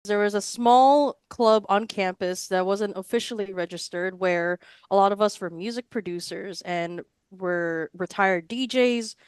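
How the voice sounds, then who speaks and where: A young woman from Hawaii chats loosely as a podcast guest, piling on "like" and side remarks. Her voice is smooth and clean, close to the mic in a dry setting. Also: mid-range voice, a little slow, no room sound. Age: 20s. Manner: chatty, rambling, lots of "like". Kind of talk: casual interview answers.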